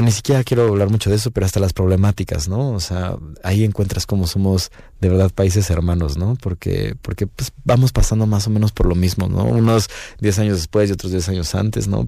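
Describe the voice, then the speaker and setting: Deep-voiced Mexican man, mellow and relaxed. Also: vocal fry, dry recording. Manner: relaxed, rambling, frequent fillers and "¿no?". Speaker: guest musician. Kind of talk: casual interview talk. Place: radio booth.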